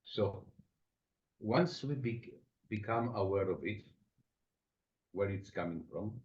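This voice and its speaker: A man with an Estonian accent, a psychotherapist answering interview questions thoughtfully, with many pauses. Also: lowish voice, a little mellow. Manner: reflective, explains with everyday examples, frequent pauses. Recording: dull lo-fi sound.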